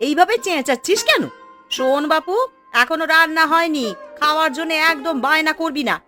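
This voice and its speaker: A woman voicing a scheming witch posing as a sharp-tongued, scolding village housewife in a fairy-tale cartoon. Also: high-pitched, fairly quick, faint music behind.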